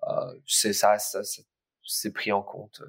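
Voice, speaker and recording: Irish-accented man, native English speaker, lowish voice, hesitant guest answers. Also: completely flat delivery, slow pace, gravelly, fairly bright tone, husky. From Ireland. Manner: hesitant, many fillers and restarts. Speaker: startup cofounder, guest. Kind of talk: casual interview answers.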